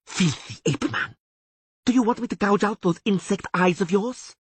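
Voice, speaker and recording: A man's voice: mid-range voice, sing-song, moderate pace, breathy edge, no echo, pitched above the performer's own voice. A man reading a story, voicing a cruel, spiteful young female elf warrior who hisses her taunts. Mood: savage scorn. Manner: hissing, contemptuous taunts.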